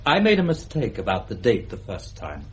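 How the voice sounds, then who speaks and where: A man's voice: lowish voice, huge pitch swings, normal speed. An actor playing a salesman on trial in a radio drama, guarded and brief in his answers. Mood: evasive. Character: guarded male insurance salesman accused of robbery. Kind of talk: radio courtroom drama acting. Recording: dull archive radio recording.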